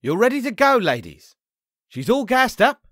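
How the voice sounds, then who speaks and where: Chipper male doorman acted by a man, high voice, lively swings, folksy, dry recording. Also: normal speed, dry recording, heavily acted. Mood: cheery. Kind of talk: comic dub voice acting.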